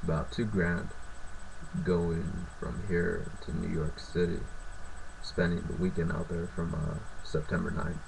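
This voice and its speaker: A young man from California, sick with a sore throat and raspy, ranting and swearing in a rambling, filler-heavy way. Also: low-pitched, flat delivery, unhurried, background noise. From Fresno, California. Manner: profane, rambling, lots of filler. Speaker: sports fan vlogger. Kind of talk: casual ranting vlog.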